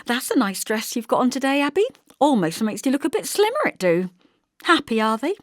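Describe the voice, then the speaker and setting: Woman acting a high, nosy young village gossip. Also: sing-song, a little fast, no room sound, studio quality. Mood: sly, prying. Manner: chirpy prying questions tacked with tags.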